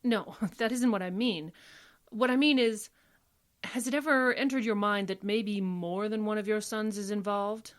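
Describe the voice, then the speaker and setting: A woman acting in a classic radio drama as a principled defense attorney, speaking in a formal, measured way. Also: mid-range voice, close to an everyday voice. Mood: thoughtful.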